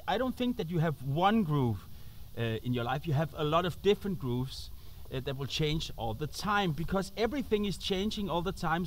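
A man's voice: a little high, slight background noise. A man in his thirties with a Danish accent, talking informally to camera as a host and feeling his way through English. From Denmark. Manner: halting, searching for English words. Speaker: host and musician. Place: outdoors on an open roadside.

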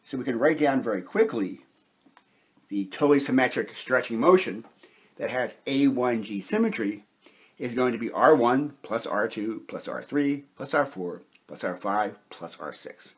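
A man with a mid-pitched, husky voice works through a technical lesson at a whiteboard. He explains each step methodically, in the measured manner of an instructor. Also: expressive. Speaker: chemistry instructor.

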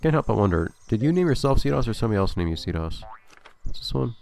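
A man's voice: mid-range voice, big pitch swings, quick pace, creaky, darkish tone. A man commentating a gameplay video casually, thinking aloud with a slightly nasal, stuffy voice. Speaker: let's play host.

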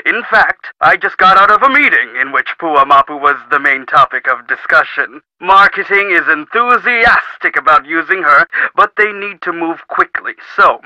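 An actor playing a middle-aged company boss in a video game, pompous, formal, demanding and impatient. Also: male voice, fairly high pitch, animated, normal speed, hardly any pauses, bright, forceful tone, no room sound, rough recording. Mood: brisk, formal.

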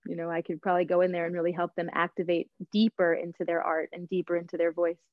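A middle-aged woman tells her story with lively, expressive energy, often checking in with a quick "right?". Her voice comes through a video call with a dull, slightly lo-fi tone and a dry, close sound. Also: lowish voice, no room sound. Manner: expressive, gestures while talking, frequent "right?". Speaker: guest, voice teacher and singer. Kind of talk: animated interview storytelling. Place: home room with bookshelves.

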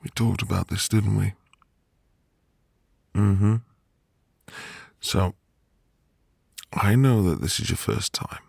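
A man playing a sweet, reassuring newlywed husband in an intimate ASMR roleplay, with a gravelly, breathy voice and a gentle manner. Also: lowish voice, dry recording, clean studio sound, exaggerated voice. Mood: soft, reassuring. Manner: slow, gentle, soothing. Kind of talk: ASMR boyfriend roleplay, intimate soft delivery.

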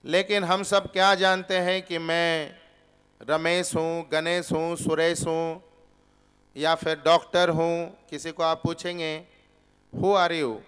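Slow, clear-voiced preacher man. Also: fairly high pitch, level tone, a bit bright. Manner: slow, deliberate teaching with rhetorical questions. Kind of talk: Gita discourse. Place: temple hall with seated audience, indoors. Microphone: stand microphone.

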